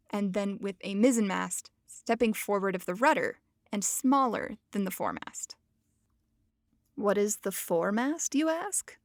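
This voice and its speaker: A woman's voice: medium pitch, no echo. A woman hosts a podcast in a loose, joking teaching manner, poking fun at herself as she goes. Her voice sits mid-range and is recorded dry and close with no room sound. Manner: playful, jokey, self-mocking. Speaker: podcast host. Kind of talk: casual educational podcast talk.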